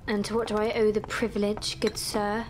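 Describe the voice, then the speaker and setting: A woman's voice: mid-range voice, monotone, fairly quick, a little mellow, lightly acted. A woman playing a bitter, sarcastic young woman in an audio drama, sardonic and reproachful.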